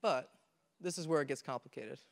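A man's voice: medium pitch, fairly quick, gravelly. A young man giving a technical conference presentation on a handheld mic, explaining things step by step in an earnest way and often restarting his sentences. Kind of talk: technical talk on stage. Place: large conference hall with audience. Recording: reverberant hall from a camera.

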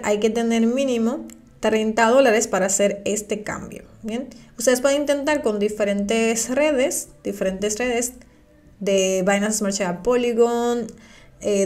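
A woman narrating a screen-recorded software tutorial, patiently explaining each step and speaking directly to her viewers. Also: mid-range voice. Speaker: crypto tutorial creator. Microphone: voice-over on screen recording.

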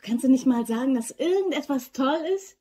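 A woman's voice: high-pitched, expressive, moderate pace, mellow, husky. An actress playing a breezy, self-assured female office worker in a radio crime drama, playful and teasing.

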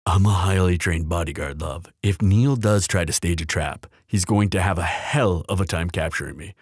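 A man playing a protective, confident bodyguard and lover in an intimate boyfriend roleplay, firm and reassuring, with a husky voice. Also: deep voice, moderate pace, no echo, studio quality. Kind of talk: ASMR boyfriend audio roleplay.

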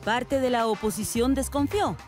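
A woman reporter reading a scripted news voice-over in a measured way. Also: on the high side, music in the background.

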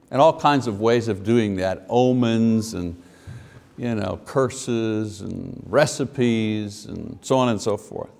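A man in his fifties preaching a sermon at a church pulpit, animated and asking the congregation questions. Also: medium pitch. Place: church sanctuary at a wooden pulpit. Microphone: lapel mic. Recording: long hall reverb.

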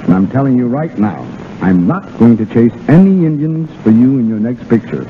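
Lively American man, tinny 1930s radio. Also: medium pitch, moderate pace. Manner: wisecracking, colloquial. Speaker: radio guest, dance director. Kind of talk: scripted 1930s radio banter and testimonial. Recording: faintly noisy, narrow-band 1930s radio.

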